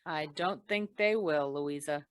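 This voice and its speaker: A woman playing a tough, wry female insurance investigator in an old-time radio noir, clipped, deadpan and probing. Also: low-pitched, measured pace, clean tone, no room sound, clean studio sound.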